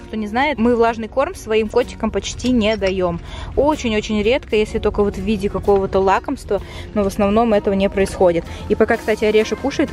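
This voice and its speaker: A young woman in her twenties, chatty and lively, talking to viewers and cooing at her cat in a car. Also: medium pitch. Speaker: pet vlogger. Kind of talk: casual vlog talk to viewers. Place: inside a car at night.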